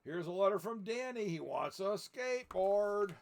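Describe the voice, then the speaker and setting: An older man voicing a brisk, cheery old Missus Claus, calling out loudly and emphatically in a storybook. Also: high-pitched, lively intonation, vocal fry, pitched above the performer's own voice.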